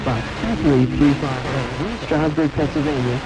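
A male radio announcer with a mid-pitched voice reads a scripted public service spot. Loud music runs behind him throughout this 1980s broadcast. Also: measured pace, rough recording.

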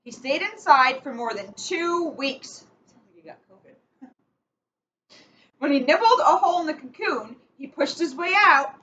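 A young woman reads a picture book aloud for children, dropping in casual asides. Her voice sits fairly high and moves around a lot, with emphatic delivery. The webcam recording is somewhat muffled. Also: expressive, unhurried, sharp tone. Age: young adult. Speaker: police officer reading a picture book. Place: indoors in a catering cafe. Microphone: webcam a short distance away.

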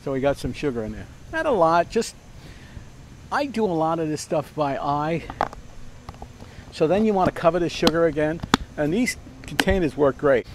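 A man's voice: fairly high pitch, very animated, moderate pace, long pauses, slight background noise, low-quality recording. An older man hosting a casual outdoor cooking demonstration, chatty and rambling with many fillers and restarts. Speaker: cooking show host. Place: outdoor backyard by a fence. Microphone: lapel mic.

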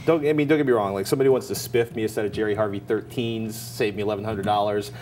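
A middle-aged American man with a fairly low voice co-hosts a tech show. He talks informally and emphatically, laying out recommendations as if chatting. Light noise sits behind him in the studio. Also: fairly even intonation, quick pace, darkish tone. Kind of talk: conversational product recommendations. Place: TV-style studio at a desk. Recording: faint background noise.

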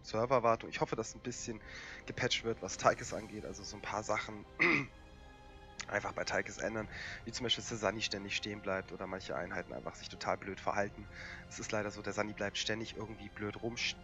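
A young man with a cold, hoarse and coughing, casually commentating a strategy game with lots of fillers. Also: on the low side, a little fast, music in the background. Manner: relaxed and rambling, many fillers. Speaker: gaming channel host.

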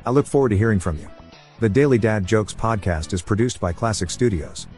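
A man with a lowish voice reads scripted promos for a podcast in a clear, deliberate way. He drops to a slow, careful pace when giving out the number. Soft music plays faintly behind him. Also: animated. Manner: clear, deliberate read, slowing right down for phone numbers. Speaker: podcast host reading promos.

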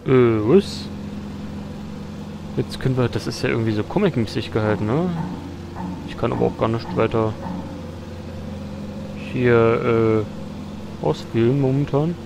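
A man casually commenting as he starts a flight game, relaxed and a little irritated by the menus. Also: medium pitch, a little slow, gravelly, long pauses, noisy background. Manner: relaxed, mildly annoyed chatter. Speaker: let's play host. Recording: voice over loud game music.

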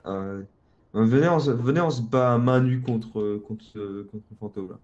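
A man's voice: medium pitch, moderate pace, a little mellow, muffled recording. A man chatting casually with friends during a game, laid-back and teasing. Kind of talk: casual gaming chat with friends.

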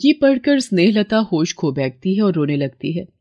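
A woman narrating a scripted moral story in a steady storytelling manner. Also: mid-range voice, normal speed, no echo, lo-fi sound.

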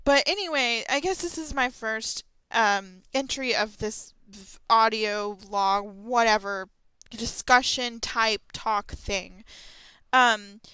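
A woman's voice: a little high, slow pace, sharp tone, smooth, no echo, low-quality recording. A young adult woman talking informally and a little tentatively, as in a casual solo audio log. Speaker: vlogger and host of an audio log. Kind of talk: casual rambling solo chat about horror stories.

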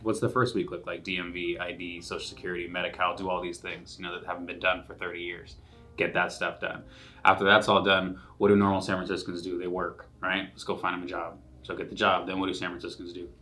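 A program manager, a man, explains his work at a quick clip. His voice is very deep, bright and crisp, and he keeps his pitch flat. Faint music plays underneath. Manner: brisk, explanatory. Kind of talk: documentary interview.

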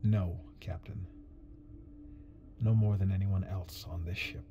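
A man playing a reserved, evasive crewman in a video game, speaking in a measured, formal, understated way with dry courtesy. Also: low voice, steady tone, dull audio, noisy background. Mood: guarded, defensive.

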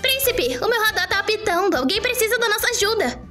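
A woman with a Brazilian accent playing an excitable young cat owner, narrating an animated storytime fandub in a cutesy, expressive way. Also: very high voice, fast, faint music behind, full character voice. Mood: urgent.

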